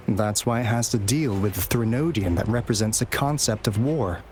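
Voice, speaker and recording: A man's voice: on the low side, a little hiss or hum. A man playing a knowledgeable, engaging companion in an English game dub, explaining things in an articulate way over music. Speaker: game character explaining lore. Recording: studio voice under loud music. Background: game soundtrack music.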